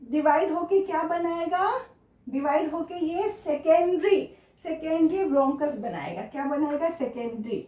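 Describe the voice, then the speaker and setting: A woman's voice: high-pitched, expressive, measured pace, mellow. A woman with an Indian accent giving a school lecture as a teacher, asking questions and repeating key terms. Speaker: biology teacher. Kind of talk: classroom lecture for school students. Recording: echoey room.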